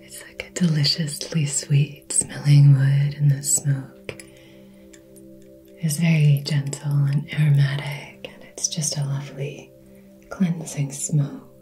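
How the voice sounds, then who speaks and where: A woman softly whispering a soothing ASMR Reiki session, speaking gently and personally to the listener as a healer. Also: sits low, little pitch movement, slow pace, faint music behind. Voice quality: breathy whisper. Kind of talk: soft-spoken ASMR healing session.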